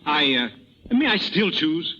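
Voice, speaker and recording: An actor playing a roguish, passionate poet-thief with theatrical delivery in a 1930s radio drama. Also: male voice, fairly high pitch, very animated, slow pace, pushed and bright, exaggerated voice. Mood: pleading. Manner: theatrical, impassioned. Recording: dull 1930s radio broadcast.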